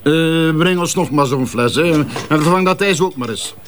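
A man's voice: on the high side, moderate pace, a bit bright, a little hiss or hum, natural voice. An actor playing a smug, condescending, boisterous businessman in a Flemish radio drama, mocking and self-satisfied. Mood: jovial, bossy. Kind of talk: naturalistic radio drama dialogue.

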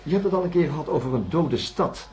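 A man's voice: on the high side, lively intonation, quick pace, darkish tone. An actor playing a persistent male doctor in a radio play, probing with questions. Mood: questioning.

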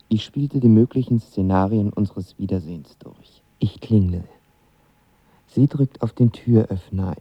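An actor playing a lovestruck, impulsive young actor of twenty in a radio drama, talking in a colloquial, confiding way. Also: male voice, lowish voice, no echo, highs cut off. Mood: excited, confiding. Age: young adult. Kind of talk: radio drama narration and dialogue.

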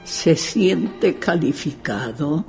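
An actress playing a very old, courteous but imperious widow in a radio drama, speaking with formal politeness. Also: female voice, low-pitched, animated, drawn-out words, creaky, darkish tone, soft background music, heavily acted. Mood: courteous, probing.

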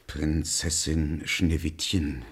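An actor playing a reluctant, obedient royal huntsman, soft-hearted and fearful, halting and solemn in a radio play. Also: rumbling low, flat delivery, slightly rough, exaggerated voice. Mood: hesitant, grave. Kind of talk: German radio play, theatrical.

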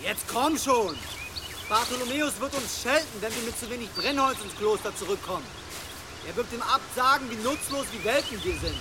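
An actor playing a young, pious and urgent novice monk in a medieval radio play. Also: male voice, very high voice, lively intonation, normal speed. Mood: impatient. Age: young adult. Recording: clearly noisy outdoor ambience.

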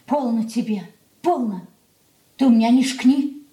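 Actress as a fretful, high-voiced older mother. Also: a little slow, mellow, lightly acted. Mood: hushed pleading. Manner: plaintive lamenting with folksy diminutives. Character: anxious, submissive older mother, fretting and pleading. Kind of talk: Russian stage-style radio drama acting.

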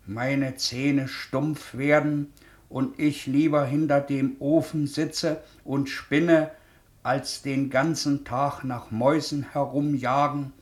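An actor playing a kindly, weary middle-aged ex-convict shoemaker, gentle and colloquial, in a 1950s radio play. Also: male voice, medium pitch, close to an everyday voice. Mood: reading aloud slowly. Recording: 1950s radio drama recording.

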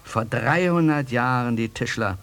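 An actor playing an easygoing, good-humoured villager in a radio drama, rambling along in colloquial country talk. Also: male voice, mid-range voice, measured pace, a bit bright. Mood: amused, reminiscing. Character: easygoing simple villager telling homely yarns, good-humoured. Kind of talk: German radio drama acting.

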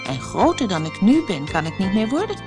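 A woman's voice: mid-range voice, big pitch swings, brisk, over music, natural voice. A woman voicing a spirited, bewildered little girl in a children's fairy tale, earnest and exclamatory. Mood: pondering. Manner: earnest, exclamatory, thinking aloud.

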